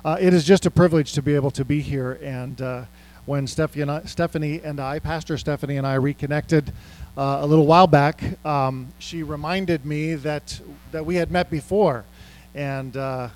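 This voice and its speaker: A man's voice: a little high, steady tone, moderate pace, a little mellow, low-quality recording. A middle-aged man speaking as a guest ministry speaker to a church, chatty and self-deprecating with many fillers. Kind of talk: casual church talk. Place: church sanctuary.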